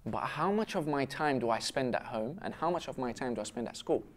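A man's voice: mid-range voice, animated, brisk. A young man from Syria and the UK speaks in an earnest, self-questioning way in a casual round-table discussion. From raised in Syria until twelve, then the UK. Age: young adult. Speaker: panel discussion participant. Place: indoor restaurant-style set with brick walls, seated at table. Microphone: lapel mic.

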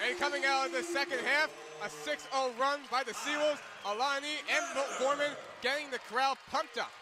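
A man's voice: very high-pitched, fairly even intonation, brisk, crowd noise. A man giving color commentary on a basketball game. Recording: loud arena noise behind. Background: basketball game in an arena.

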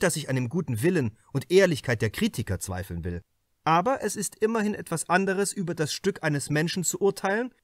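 A man voicing an earnest, self-assured young writer on trial in an audiobook, measured and articulate. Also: a little high, no room sound, studio quality, close to an everyday voice. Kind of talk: acted audiobook reading.

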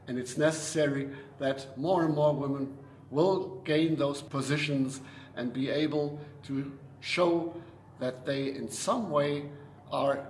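An older man delivers a prepared address slowly and deliberately, his voice sitting a little high. The room is echoey with a faint hiss behind him. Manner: slow, deliberate, earnest. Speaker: organization official giving an address. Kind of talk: prepared speech. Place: indoor room.

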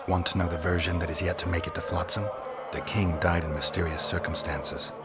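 Guy playing a gruff low voiced monster hunter, deadpan, game dialogue over music. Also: a little fast, close to an everyday voice. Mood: matter-of-fact. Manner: terse, deadpan. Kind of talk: video game character acting.

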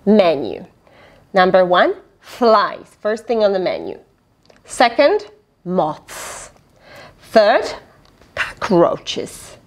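A middle-aged woman reading aloud to young children, expressive and animated. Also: mid-range voice, very slow, pauses often. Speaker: children's storyteller. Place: small fabric play tent indoors.

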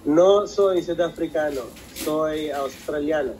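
A man works through practice sentences slowly and a bit hesitantly, correcting his own slips. His voice is slightly high, heard over a video call with faint noise behind it. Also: a little mellow, low-quality recording. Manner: hesitant, careful, self-correcting. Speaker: student. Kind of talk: practicing phrases in a class.

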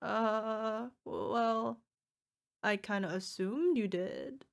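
A woman acts out a young orc girlfriend in an audio roleplay. Her voice is fairly high, and she is gushing, needy and playful. She is fierce yet cutesy, speaking intimately to a partner. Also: very slow, gravelly, exaggerated voice. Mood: playful, defensive. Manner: gushing, emotional, playful, talking to an unheard partner. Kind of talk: ASMR girlfriend roleplay acting.

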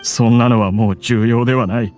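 A man performs a proud scholar steeped in grief and despair, in a mid-pitched male voice. The delivery is theatrical and bitter, in anime game style, with music playing underneath. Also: steady tone, brisk, a little mellow, close to an everyday voice.

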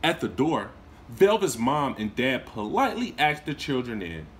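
Man in his thirties, a teacher reading a picture book aloud with lots of swing in his voice, mid pitch, recorded on a phone in a small room. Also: moderate pace, a little hiss or hum. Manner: expressive storytelling with lively swings in pitch and volume; warm, encouraging talk to young children. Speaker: kindergarten teacher. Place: small indoor room, seated on a couch.

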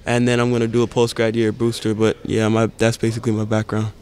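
A teenage basketball prospect from the Bahamas, answering interview questions in a calm, even way into a handheld mic. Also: male voice, fairly low pitch, quick pace, a little hiss or hum. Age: teens. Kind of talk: post-game press interview. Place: indoor camp media backdrop. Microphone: handheld reporter mic held close.

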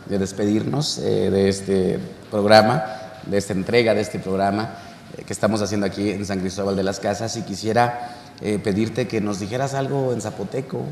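A man in his thirties from Mexico hosting a relaxed interview, talking with animated gestures in a cultural center room. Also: fairly low pitch, normal speed, creaky, slight background noise. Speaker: show host. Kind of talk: conversational interview. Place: cultural center courtyard, indoors. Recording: echoey room with long reverb.

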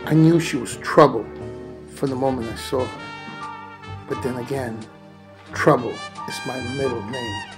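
A brooding, world-weary gumshoe from a noir parody, acted out by a man. He narrates with weighty dramatic pauses over music. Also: mid-range voice, pitched below the performer's own voice, natural voice. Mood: wary, deadpan. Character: world-weary hard-boiled 1940s male private detective narrator. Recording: music behind.

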